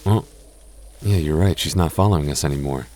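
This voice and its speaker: Low-voiced young man, soft and halting. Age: young adult. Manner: soft-spoken, halting, jokey and reassuring; slow measured reading of a rhyme. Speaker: boyfriend in roleplay audio. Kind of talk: ASMR boyfriend roleplay.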